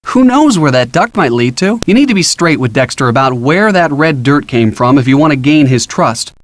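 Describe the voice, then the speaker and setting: An upbeat young American man voiced by a male actor coaches a detective through an adventure game. His voice sits a little high and moves in a lively way, casual and encouraging, with playful asides. The sound is close and dry. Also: moderate pace, no room sound, lightly acted. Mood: helpful, casual. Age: young adult. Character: helpful upbeat college-age boyfriend giving detective hints. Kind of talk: scripted game hint dialogue.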